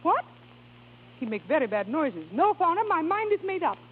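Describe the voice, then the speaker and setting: An actress playing a passionate opera singer in love, speaking grand, halting, foreign-tinged English in a 1930s radio play. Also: female voice, extremely high pitch, sing-song. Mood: imperious, firm. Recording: tinny 1930s radio, long reverb, music behind.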